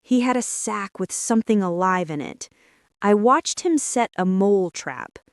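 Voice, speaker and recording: A woman's voice: medium pitch, lively intonation, measured pace, dry recording, close to an everyday voice. A young male rabbit from a storybook, as a woman reader puts him on, composed and thoughtful. He reasons aloud in slightly formal phrases. The recording is close and dry. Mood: reflective, composed. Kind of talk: storybook character voice.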